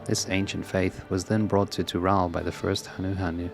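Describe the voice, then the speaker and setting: Guy streaming a game, deep voice, takes his time, casual comments w music behind. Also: little pitch movement, moderate pace, vocal fry. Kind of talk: casual game commentary and reading dialogue aloud.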